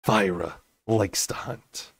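A man voicing a reserved male fae lord in a storybook, measured and gentle. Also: lowish voice, creaky, slightly rough, no room sound, studio quality, full character voice. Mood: flat. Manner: measured, soft-spoken.

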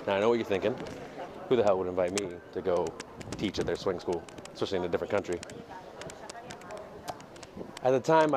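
A man in his thirties talks in a fairly low voice while he walks down a city street. He speaks candidly and reflectively, with plenty of hesitations. His earphone mic also picks up clear street noise and passing traffic. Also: darkish tone. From United States. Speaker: dance teacher and vlogger. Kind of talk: casual walking vlog monologue. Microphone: earphone headset mic, phone at arm's length. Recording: noisy outdoor street recording. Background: street ambience and passing vehicles.

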